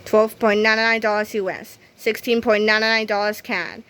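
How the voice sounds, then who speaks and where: A woman's voice: medium pitch, level tone, moderate pace, fairly bright tone, slight background noise. A woman reading a children's book aloud as scripted narration. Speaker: storybook reader.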